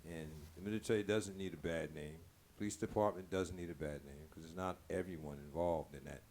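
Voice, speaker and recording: A man's voice: rumbling low, a little mellow. A man speaking as a guest on a talk show, in casual, earnest, informal talk. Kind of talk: casual chat. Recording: clearly noisy live-stream room sound.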